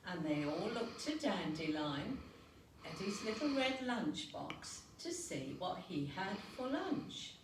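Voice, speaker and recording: A woman reading a picture book aloud to young children as a storyteller, unhurried, expressive and gently dramatic. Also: medium pitch. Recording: roomy, noisy recording, distant mic.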